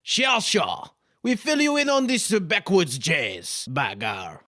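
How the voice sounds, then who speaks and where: A man's voice: high-pitched, huge pitch swings, takes it slowly, sharp tone, no room sound, studio quality, exaggerated voice. A man voicing a sneaky, smirking crook's henchman in a cartoon comic dub, sly and mock-folksy. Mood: falsely friendly.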